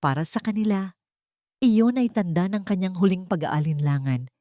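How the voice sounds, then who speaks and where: A woman's voice: lowish voice, moderate pace, dark tone, dry recording, clean studio sound. A woman with a Filipino accent narrating a scripted dramatic story.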